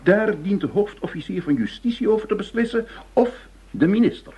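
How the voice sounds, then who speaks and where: High, husky, pompous finance official. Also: male voice, lively intonation, brisk, warm tone, exaggerated voice. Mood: stern, grudging. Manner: stiff, pompous, prim. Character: indignant, penny-pinching male government finance official. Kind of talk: Dutch radio play acting. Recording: dull, muffled radio drama recording.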